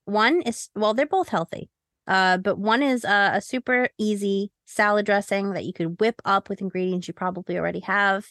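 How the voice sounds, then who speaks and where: Chatty woman podcast host, kinda jokey and playful, mid pitch, super dry recording. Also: normal speed, a bit bright, no echo. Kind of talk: casual, chatty podcast hosting.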